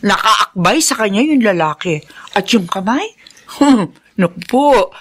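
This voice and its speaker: A woman playing a coy, spiteful, gossipy mistress with a husky voice in a radio drama. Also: mid-range voice, lively intonation, unhurried, no echo.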